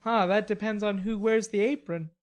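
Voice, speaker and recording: Woman as a playful, eager young gentleman. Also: mid-range voice, steady tone, normal speed, muffled recording, close to an everyday voice. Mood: teasing, audacious.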